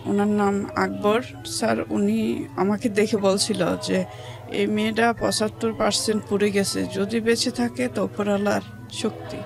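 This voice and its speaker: A woman's voice: mid-range voice, flat delivery, moderate pace, vocal fry. A woman with a colloquial Bangladeshi accent giving personal testimony as an interview guest, plainly recounting events and quoting what others said. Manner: plain colloquial telling, quotes others' words. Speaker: interview guest telling her life story. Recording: music under the voice.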